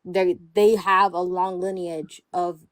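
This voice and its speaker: Young woman podcast host, low register, loose and rambling, measured, dry and close-miked. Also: flat delivery, dry recording. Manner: rambling, heavy use of "like" and "you know". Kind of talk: casual conversation.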